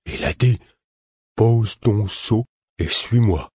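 A man voicing a frightened, simple-minded small man who pleads in a high, strained voice about being killed. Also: takes it slowly, a little mellow, breathy edge, no echo, studio quality. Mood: terrified.

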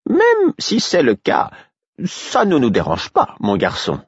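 A kindly older harpist, as a man acts him out in a storybook reading, reassuring and given to explaining hard times. His voice jumps high in wide swoops and sounds a little husky. The recording is a bit dull and lo-fi. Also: huge pitch swings, takes it slowly, no echo, full character voice. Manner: reassuring, lecturing.

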